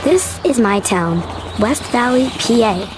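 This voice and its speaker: A woman's voice: on the high side, animated, a little slow, rough recording. A woman voicing a sardonic, grumbling small-town kid who narrates a cartoon. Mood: wry, amused. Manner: wry, grumbling. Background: background music.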